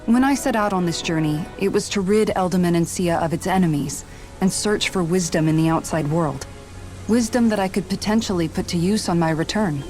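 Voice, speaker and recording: A woman's voice: lowish voice, darkish tone, lightly acted. A woman playing a dutiful, composed young former palace guard in a video game, speaking earnestly and considerately. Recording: music underneath. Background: background music.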